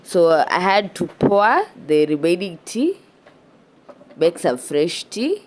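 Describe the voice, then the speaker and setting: A young Kenyan woman with a Kenyan accent narrating her outdoor cooking vlog in a relaxed, chatty way, a little stuffy from a cold. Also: on the low side, slow pace. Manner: relaxed and chatty, with frequent "yeah" and restarts. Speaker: cooking vlogger. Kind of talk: casual voice-over narration of a cooking video.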